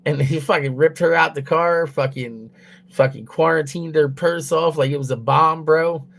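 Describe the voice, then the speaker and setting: A man's voice: fairly high pitch, fairly even intonation, normal speed, gravelly, fairly bright tone. A young man streaming from his phone, rambling loosely and swearing heavily as he chats with viewers. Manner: loose, rambling, heavy swearing, laughing at own jokes. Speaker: livestreamer. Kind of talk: casual profane stream chatter. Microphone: mobile phone.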